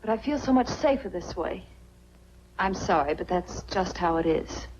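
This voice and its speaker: An actress playing a gentle, guarded young widow with a husky voice and an American accent, in 1940s film style. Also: female voice, on the low side, animated, normal speed. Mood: guarded, firm. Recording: dull 1940s film soundtrack.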